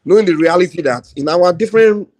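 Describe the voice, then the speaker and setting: Nigerian man with a slightly high voice, hosting a heated debate, cutting in and repeating words as he pushes his point. He sounds like a host holding the floor against talk-over. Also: fast talker, a little mellow. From Lagos, Nigeria.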